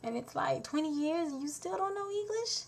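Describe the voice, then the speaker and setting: A woman's voice: high voice, animated, moderate pace, vocal fry. A woman born in Haiti and raised in South Florida, a nurse, venting bluntly and frankly in a rambling casual vlog.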